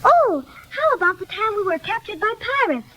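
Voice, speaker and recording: A very high, girlish voice as a sensible little girl. She is sweet and earnest, gently chiding, in old-fashioned children's radio serial style. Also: very animated, a little fast, full character voice, low-quality recording. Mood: earnest, bright.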